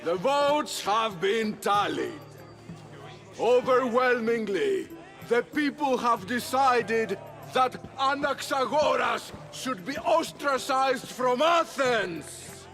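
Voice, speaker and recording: An actor playing a solemn Athenian official in a video game, making a grand, declamatory public proclamation. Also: male voice, extremely high pitch, expressive, slow, fairly bright tone, faint music behind, exaggerated voice. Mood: solemn, proclaiming.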